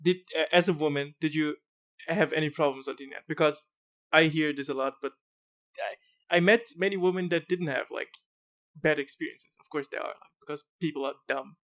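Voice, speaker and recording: Rambling, halting man, slightly high voice. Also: fairly even intonation, fairly bright tone. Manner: rambling, halting, lots of fillers and restarts. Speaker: podcast host. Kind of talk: casual podcast interview.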